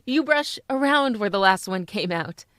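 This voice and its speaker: A woman playing a gentle, earnest young widowed mother in an old-time radio drama. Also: mid-range voice, animated, moderate pace, dry recording, clean studio sound, close to an everyday voice. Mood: tender, light.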